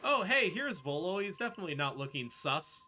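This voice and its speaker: Excitable, bright-voiced man, lively pitch. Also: brisk, a little hiss or hum. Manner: excitable, joking. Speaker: speedrunner playing and commentating. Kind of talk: casual live game commentary.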